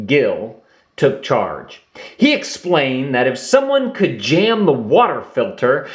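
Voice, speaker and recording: A man reading a children's storybook aloud in an expressive storytelling manner. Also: mid-range voice. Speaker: storybook reader. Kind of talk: children's read-aloud narration. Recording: roomy echo.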